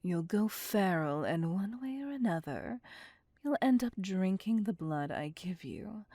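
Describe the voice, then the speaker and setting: A woman voicing a possessive, vain vampire mistress in an ASMR roleplay, breathy and often whispering, with condescending endearments. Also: mid-range voice, dark tone, dry recording, clean studio sound. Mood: menacing tenderness. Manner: slow, deliberate, condescending endearments. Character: possessive, vain female vampire, commanding and seductive. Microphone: close, dry microphone.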